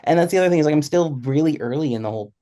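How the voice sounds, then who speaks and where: A man's voice: fairly high pitch, rapid-fire, mellow. A man in his twenties speaking as a panelist in a reflective conversation, earnest and often correcting himself as he goes. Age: 20s. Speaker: panelist, freelance musician.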